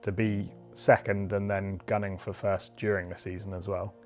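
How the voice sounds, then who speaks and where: A man speaking as coach in a documentary interview, calm and appraising. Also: low-pitched, normal speed, faint music behind.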